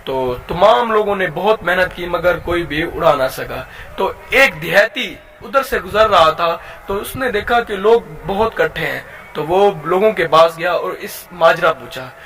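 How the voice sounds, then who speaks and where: High, bright-voiced Pakistani man telling a story. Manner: halting, repeats and restarts phrases. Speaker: YouTube storyteller and channel host. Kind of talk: informal storytelling of a moral tale.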